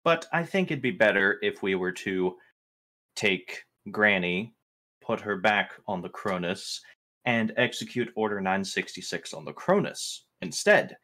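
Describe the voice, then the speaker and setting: A man's voice: on the low side, big pitch swings, unhurried, sharp tone. A man improvising in-character roleplay dialogue as a firm, wary spaceship captain in a tabletop game.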